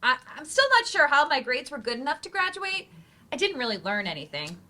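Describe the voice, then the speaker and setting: A stage actress as an eager adult learner and mother. Her voice is high, bright and lively, with an earnest, open manner suited to a live play reading. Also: a little fast, heavily acted. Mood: candid, upbeat.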